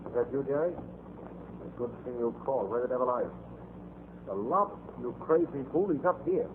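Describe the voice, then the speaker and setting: An actor playing a cornered, ruthless crime boss giving hushed, urgent orders in a 1940s radio drama. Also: male voice, medium pitch, animated, normal speed, natural voice. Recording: tinny old radio recording, faint noise.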